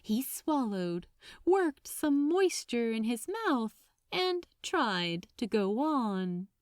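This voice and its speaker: Female fiction narrator, higher register, dramatic and slow-paced, very dry recording. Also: very animated, dry recording. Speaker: audiobook narrator.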